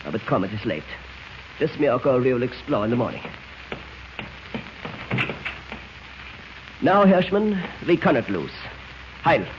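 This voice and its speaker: An actor playing a gloating, ruthless villain in a theatrical 1940s radio drama style, on an old scratchy recording. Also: male voice, medium pitch, very animated, fairly quick, lo-fi sound, natural voice. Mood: exultant. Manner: theatrical, exultant. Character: gloating, ruthless male villain, spy ring leader.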